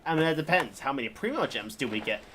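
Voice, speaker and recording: A man casually commentating as a live game streamer, rambling and halting, sounding mildly bored. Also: mid-range voice, sing-song, fairly bright tone, slight background noise. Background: video game music and dialogue.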